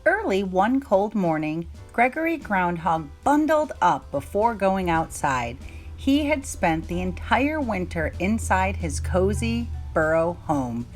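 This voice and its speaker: Lively woman storyteller over soft music. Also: medium pitch, slow. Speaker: storyteller reading aloud. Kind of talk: children's story narration.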